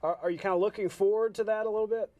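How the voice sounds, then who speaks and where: A man hosting a sports show, calling highlights and putting questions to guests in broadcast style. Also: steady tone, racing, a little mellow, no room sound. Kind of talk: upbeat sports highlight commentary and interview.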